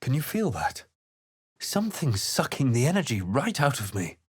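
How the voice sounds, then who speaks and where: A man playing a brooding fantasy adventurer in a game, recounting his journey in a measured, solemn, dramatic way. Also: medium pitch, sing-song, husky, no room sound, studio quality. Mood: wary. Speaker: player character voice actor.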